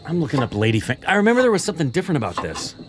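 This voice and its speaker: A man's voice: fairly high pitch, huge pitch swings, racing, background noise. A middle-aged American man chatting casually and jokingly as a reaction show co-host, close on a studio mic. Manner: joking, playful. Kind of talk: casual chat reacting to a video. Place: small studio, indoors. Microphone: close studio mic on boom arm.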